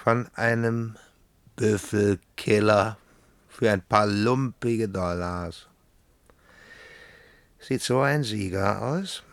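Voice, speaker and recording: A arrogant ghost of a cavalry officer speaks at a slow, deliberate pace with a creaky edge. He is scornful and self-righteous, recorded dry and close for a radio play. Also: fairly low pitch, no room sound. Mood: taunting, scornful. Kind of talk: radio drama acting.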